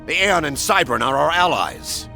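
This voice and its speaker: A man's voice: on the high side, wildly swooping pitch, normal speed, gravelly, over music, heavily acted. A man voicing a stern military superior in a video game, giving orders with authority. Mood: exasperated.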